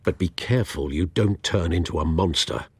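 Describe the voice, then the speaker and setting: An actor playing a concerned older male confidant in a video game, giving measured, articulate, admonishing warnings. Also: sits low, slight background noise, lightly acted. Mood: cautioning, concerned.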